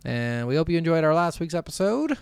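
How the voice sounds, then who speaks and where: Clear-voiced man, dry close podcast sound. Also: fairly high pitch, sing-song, brisk, dry recording. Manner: casually profane. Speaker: podcast co-host. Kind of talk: casual gaming podcast chat.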